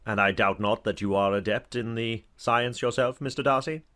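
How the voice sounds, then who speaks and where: An actor playing a genial, talkative older knight in a dramatized audiobook, speaking in an effusive, courtly way. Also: male voice, medium pitch, normal speed, sharp tone, natural voice. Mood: sociable.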